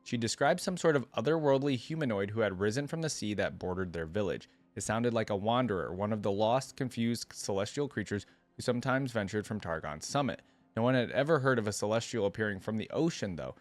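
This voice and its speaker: A man with a fairly low voice in a very dry, close recording. He hosts a reaction video, moving between reading a story aloud and offhand commentary, thinking out loud in casual slang. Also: lively intonation, brisk, dry recording.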